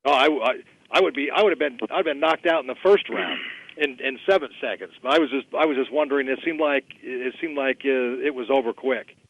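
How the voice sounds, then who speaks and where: A man's voice: medium pitch, a little fast, creaky, fairly bright tone, highs cut off. Guy reporter, mid voice, rambly polite questions, no echo at all. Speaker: sports reporter asking questions. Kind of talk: unscripted press questioning. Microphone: conference call.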